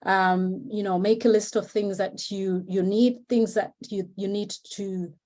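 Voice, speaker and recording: A woman from Zimbabwe talks over a video call at an online seminar. Her pitch sits in the middle range. She speaks in a hesitant way, with many fillers and restarts, and encourages her listeners. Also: fairly even intonation, a little slow, a little mellow. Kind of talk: informal remarks at an online seminar.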